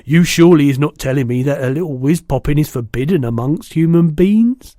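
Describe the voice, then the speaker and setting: A man's voice: on the high side, fairly quick, dark tone, no room sound, clean studio sound, lightly acted. A man voicing a big, friendly old giant in a storybook, exuberant and indignant, exclaiming emphatically in muddled words and grammar. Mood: gleeful.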